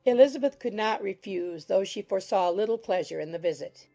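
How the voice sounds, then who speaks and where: A woman reading scripted audiobook narration in a measured, formal storytelling manner. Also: medium pitch, expressive, gravelly, warm tone, faint music behind.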